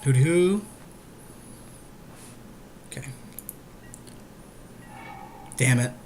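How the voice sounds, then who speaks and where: A man's voice: medium pitch, expressive, slow, a little mellow, faint music behind. A man in casual gaming chat, putting short reactions and suggestions to his teammate. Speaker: podcast co-host and game teammate.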